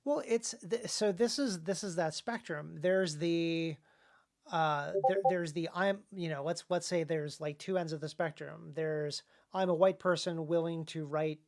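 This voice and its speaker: An American man hosts a conversational podcast discussion as an author. He thinks aloud, halting and then leaning hard on key words. His delivery is informal throughout. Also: a little high, a little mellow.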